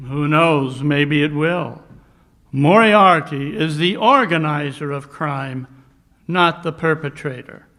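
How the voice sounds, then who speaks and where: A man's voice: fairly high pitch, a bit bright. A man playing a coolly brilliant, brooding Victorian detective in a 1940s radio mystery style, speaking in precise, declamatory phrases. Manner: deliberate, precise, declamatory monologues. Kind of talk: 1940s radio mystery acting, live re-creation.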